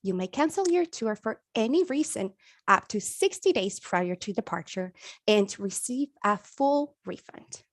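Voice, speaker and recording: A woman's voice: medium pitch, expressive, a little slow, dry recording, studio quality. A woman hosting a webinar, reading polished scripted announcements. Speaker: webinar host. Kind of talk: read announcement.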